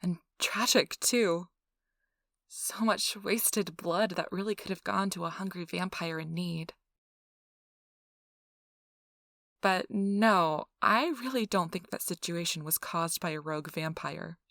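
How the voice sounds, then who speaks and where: Low-pitched woman acting as a reassuring ASMR interviewer. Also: unhurried, a bit bright, no room sound, studio quality. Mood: earnest concern. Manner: polite, reassuring, customer-service patter. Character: cheerful, earnest woman donor recruiter for vampires. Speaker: donor acquisition interviewer. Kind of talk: soft-spoken ASMR role-play.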